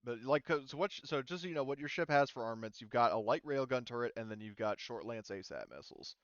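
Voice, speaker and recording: A man running a tabletop roleplaying game, casually explaining rules in a halting, rambling, self-interrupting way. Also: medium pitch, expressive, no room sound, muffled recording. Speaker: game master.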